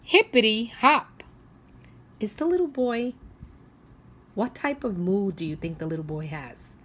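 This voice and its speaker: A woman teacher leading a read-aloud for young children, asking simple questions and pausing for them to think. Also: medium pitch, very animated, warm tone, slight background noise.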